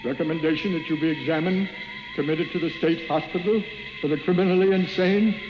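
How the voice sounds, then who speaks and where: A man's voice: on the high side, fairly quick, music in the background, lightly acted. An actor playing a stern, formal male judge, solemn and declamatory, in 1950s radio drama style. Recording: reverberant hall, old radio recording.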